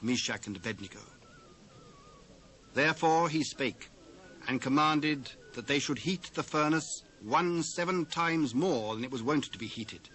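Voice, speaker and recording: A man with a British accent narrating scripture in a measured, solemn, formal and dramatic way. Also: mid-range voice, fairly bright tone, rough recording. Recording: faint hiss, old cassette transfer.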